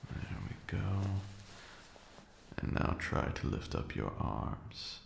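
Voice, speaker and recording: A man voicing a haughty but protective ancient vampire lord in a soft, teasing ASMR roleplay, with formal old-fashioned phrasing and a breathy murmur. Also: slow, roomy sound, background noise, natural voice. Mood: teasing, soothing.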